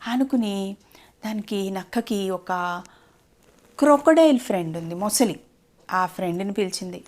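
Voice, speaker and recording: A woman's voice: medium pitch, animated, unhurried, a little mellow. A woman telling a story to a child in a simple, explanatory way, often asking questions.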